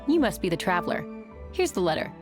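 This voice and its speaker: An actress playing a composed, helpful innkeeper in a video game, speaking in a measured, thoughtful manner. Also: female voice, medium pitch, big pitch swings, lightly acted. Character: composed female innkeeper, matter-of-fact and helpful. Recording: music playing behind.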